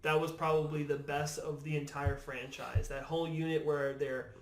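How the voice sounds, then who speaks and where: A man's voice: fairly high pitch, flat delivery, moderate pace, slight background noise. A man chatting casually and giving opinions as a podcast guest, emphatic and often repeating his words for effect. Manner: emphatic, repeats words, mimics imagined remarks. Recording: roomy, echoing room.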